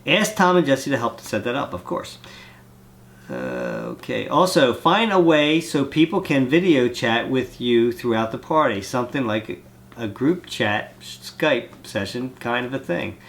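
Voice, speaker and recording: A man's voice: medium pitch, sing-song, a little slow, creaky, a bit bright. A man with a cold, congested and coughing now and then, chatting casually on his vlog and rambling from one thought to the next. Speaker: vlogger reading fan mail. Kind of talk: casual chat.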